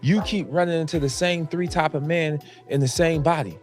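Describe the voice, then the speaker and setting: A man's voice: fairly high pitch, steady tone, a little fast, soft background music. A man on a podcast, making blunt sweeping claims and repeating them for emphasis. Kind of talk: podcast discussion.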